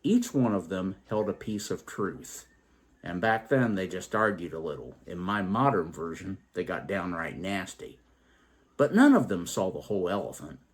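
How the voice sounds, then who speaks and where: A man telling a story and reflecting on its moral as host, in a theatrical, emphatic, deliberate way. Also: sits low, very animated. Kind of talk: dramatic poetry recital and moral reflection.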